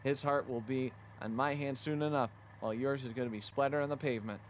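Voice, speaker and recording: A man's voice: medium pitch, steady tone, quick pace, slight background noise, lightly acted. A man voicing a scheming, jealous young woman villain in a visual novel, flippant and boastful. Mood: gloating menace. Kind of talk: visual novel character read-aloud. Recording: music behind the voice.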